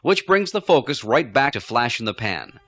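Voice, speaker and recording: Bright-voiced man narrating a radio documentary. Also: medium pitch, sing-song, normal speed.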